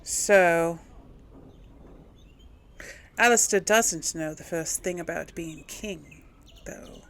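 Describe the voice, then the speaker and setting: A woman casually role-playing through a video game as its host. Her voice is on the low side and she talks slowly, in a thoughtful manner. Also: a bit bright. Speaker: let's play host, role-playing the player character.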